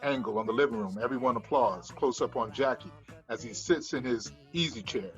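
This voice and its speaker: A man's voice: mid-range voice, brisk, a bit bright, dull audio, faint music behind. A man narrating the stage directions of a screenplay at a table read.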